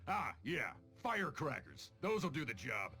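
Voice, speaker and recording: A crude, blustering bandit boss. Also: male voice, medium pitch, wildly swooping pitch, moderate pace, exaggerated voice. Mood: scheming. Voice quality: rough street-thug diction. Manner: crude, bossy, swinging into loud shouts. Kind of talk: animated video game acting. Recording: music behind the voice.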